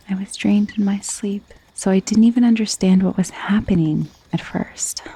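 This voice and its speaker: Woman acting as genteel vampire mistress, breathy, mellow, hushed and soothing, possessive, close dry ASMR roleplay. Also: medium pitch, dry recording. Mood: tender, consoling. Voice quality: soft, breathy. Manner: soothing, genteel, hushed, often slipping into whisper. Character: gentle, wealthy, possessive female vampire mistress, centuries-old undead. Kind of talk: ASMR roleplay, intimate soft-spoken monologue. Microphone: close, dry microphone.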